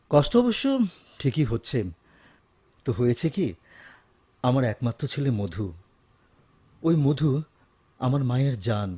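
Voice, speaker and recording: An actor playing a weary, lonely office boss in a Bengali radio drama, speaking in a hesitant, reflective way. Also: male voice, mid-range voice, mellow.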